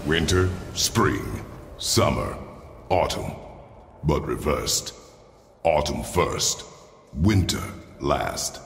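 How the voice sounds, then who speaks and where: A stern, battle-hardened warrior father in a video game. His voice is gravelly and extremely low, with terse commands that swing in pitch. It rings in a reverberant chamber. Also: lively intonation, drawn-out words, pauses often, slight background noise, heavily acted. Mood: brooding, deliberate. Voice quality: very deep, gravelly. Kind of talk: cinematic video game acting. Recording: roomy reverberant stone chamber, game ambience.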